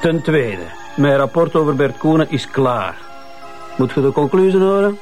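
An actor playing a brisk male forensic expert, listing findings in a television crime drama. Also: a little high, expressive, darkish tone, faint music behind, natural voice. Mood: matter-of-fact.

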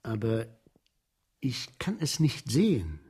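An actor playing a meek, deferential minor official in a German radio play, answering obediently and hesitantly. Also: male voice, medium pitch, huge pitch swings, slow, a little mellow, husky, full character voice.

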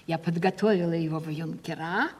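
An actress in a radio play voicing an aging provincial gentlewoman, gracious and self-justifying, with theatrical, affected, effusive delivery. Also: on the low side, a little fast, husky. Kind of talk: classic Russian stage comedy radio acting. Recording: 1970s radio play, reverberant hall.

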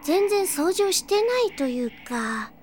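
Very high, cutesy little girl witch. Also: very animated, unhurried, a little mellow, a little hiss or hum, exaggerated voice. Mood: appalled. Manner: dramatic, cute, talks to herself. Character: airy, chatty little girl witch running a magic shop. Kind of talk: Japanese audio drama character acting.

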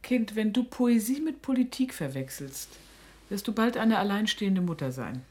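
An actress playing a suspicious, sharp-tongued middle-aged mother who interrogates sternly and sardonically in a radio play. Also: mid-range voice, very animated, normal speed, lightly acted.

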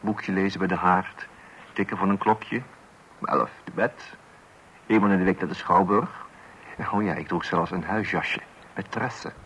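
Pompous orderly husband, opinionated, tutting repetitions, radio drama delivery, faint hiss on an old recording. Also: male voice, low-pitched, fairly even intonation, moderate pace, pauses often, fairly bright tone, dull audio. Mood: wistful. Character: pompous, orderly married man with strict parenting views. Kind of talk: radio play acting.

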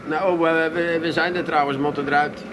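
Casting: male actor as indignant Amsterdam hothead, high-pitched, blunt grumbler, radio comedy, noisy recording. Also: fairly even intonation, normal speed, close to an everyday voice. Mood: grumpy. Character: hot-tempered, grumbling working-class Amsterdam man, swindled son. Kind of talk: 1980s comic radio drama acting.

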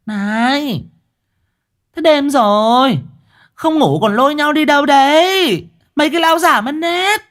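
A man voicing a sharp-tongued old wife who scolds and grumbles. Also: extremely high pitch, unhurried, voice pushed up. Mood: scolding.

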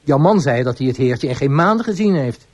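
An actor playing a persistent police officer in a radio drama, asking questions in a clipped, businesslike way. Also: male voice, mid-range voice, lively intonation, fairly quick, slight background noise, natural voice.

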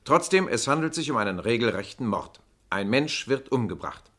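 A composed radio play narrator with a medium-pitched voice. He is earnest and deliberate, formally addressing and placating his listeners. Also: expressive, a bit bright. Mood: measured, insistent.

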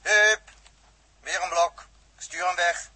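Male Dutch crewman, 1960s radio drama: gruff, grudging, distrustful, bright and tense, fairly high. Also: fairly even intonation, slow, no echo, full character voice. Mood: working, alert. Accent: Netherlands Dutch. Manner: blunt, grudging, terse.

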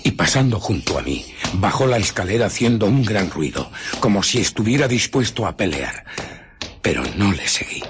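An actor playing a ruthless, irritable fugitive scientist in a radio drama, husky and often dropping to a whisper as he recounts and justifies his deeds. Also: fairly low pitch, very animated, normal speed, noisy background. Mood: brooding recollection. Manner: dramatic, brooding, self-justifying. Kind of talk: radio drama narration and dialogue.

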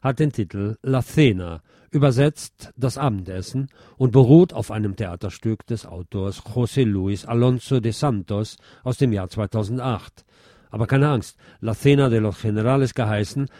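A man presenting a scripted film review as a radio host, measured and articulate in his delivery. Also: on the low side, mellow, dry recording, studio quality.

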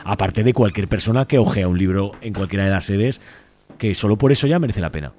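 Spanish man, radio guest, low relaxed voice, very quick colloquial delivery. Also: slight background noise. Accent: Spanish from Spain. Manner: enthusiastic, colloquial, rambling, often seeking agreement. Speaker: radio guest from a community library collective. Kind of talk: casual spoken radio interview.

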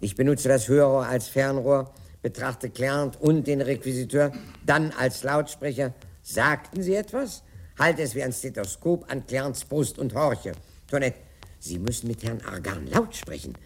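An older man telling a theatrical anecdote, acting out the dialogue with dramatic pauses. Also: mid-range voice, expressive, slightly rough.

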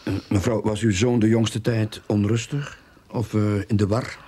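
An actor playing a sceptical junior detective in a radio drama, with a hesitant, doubting manner. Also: male voice, lowish voice, level tone, normal speed, warm tone, slightly rough. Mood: probing. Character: sceptical junior detective, questioning and wary of his partner.

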